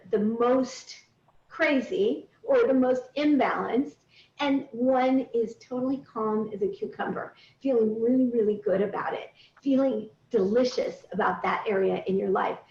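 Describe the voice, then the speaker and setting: Roomy, distant woman coach, higher voice. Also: a little mellow. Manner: instructive, walks through points step by step. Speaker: wellness coach leading a group coaching call. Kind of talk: guided coaching talk to a group. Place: indoors on a recorded group video call. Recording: roomy, distant, dull online call audio.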